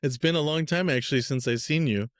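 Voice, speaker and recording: A man from Peru hosting a casual podcast interview, relaxed and upbeat, talking loosely with fillers. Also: on the high side, fast, no echo, studio quality. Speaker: podcast host.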